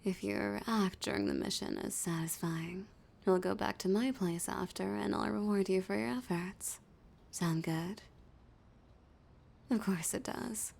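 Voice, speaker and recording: A woman voicing a flirty, dominant female villain in an intimate audio roleplay, teasing and often dropping into a breathy whisper. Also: medium pitch, a little slow, a little mellow. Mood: flirty, pleased.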